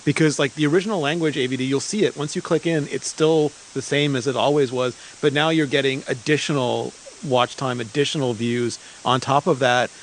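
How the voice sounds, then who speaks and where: A man's voice: medium pitch, steady tone, brisk, hardly any pauses, slight background noise, rough recording. A man from Montreal interviewing in a casual, informal and enthusiastic way. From Montreal, Canada. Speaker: interviewer and creator liaison.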